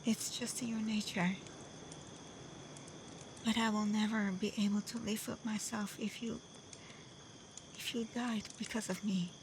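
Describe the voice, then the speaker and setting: Slow, tender kitsune woman, acted by a woman. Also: mid-range voice, flat delivery, background noise, lightly acted. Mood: anguished, earnest. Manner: tender, hesitant, breathy, often dropping to a whisper. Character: gentle, devoted kitsune fox-woman in love. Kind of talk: intimate ASMR roleplay acting. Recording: faint cricket and night insect ambience.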